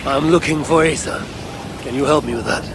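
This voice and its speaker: An actor playing a stern Norse god and father in a video game, speaking in a measured, formal, resolute way. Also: a little high, vocal fry, noisy background. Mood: firm, courteous. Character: stern Norse god father hunting for vengeance, one-eyed. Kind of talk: video game cutscene acting.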